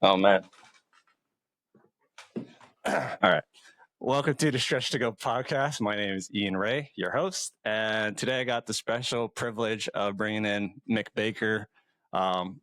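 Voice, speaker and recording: Easygoing male podcast host, low voice, dry close mic, relaxed filler-heavy delivery. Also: dry recording. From Hawaii. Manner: laid-back, says "man" and "like" often. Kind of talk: casual interview chat.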